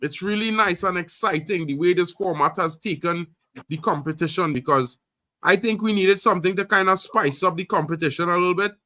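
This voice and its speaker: A man from Trinidad hosting a casual live-stream chat, animated and loud, full of fillers like "you know what I mean". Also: sits high, a little fast, no echo, studio quality. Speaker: host.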